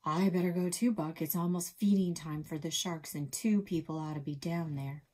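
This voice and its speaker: A woman voicing a bold, excitable girl treasure hunter who talks in a raised, eager voice. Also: low voice, moderate pace.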